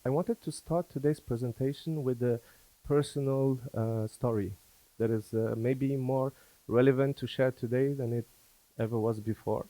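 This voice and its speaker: A man from Afghanistan who was schooled in France, giving a business presentation as a company founder, with halting, hesitant phrasing. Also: medium pitch, steady tone, dark tone, dry recording, low-quality recording. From born in Afghanistan, schooled in France.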